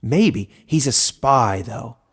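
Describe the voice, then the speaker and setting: Man voicing a gruff, growling, suspicious coyote. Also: mid-range voice, lively intonation, takes it slowly. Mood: wary, suspicious. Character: small suspicious coyote creature, wary of humans.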